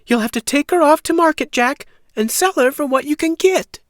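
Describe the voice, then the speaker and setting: A man's voice: very high-pitched, moderate pace, no echo, studio quality, pitched above the performer's own voice. A man voicing a poor widowed mother, fretful and scolding, in an insistent, exclaiming way. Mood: exasperated.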